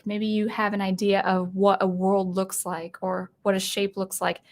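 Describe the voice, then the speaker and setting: Woman rambling on a casual livestream. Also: medium pitch. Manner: off-the-cuff, rambling with fillers and restarts. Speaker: livestream host.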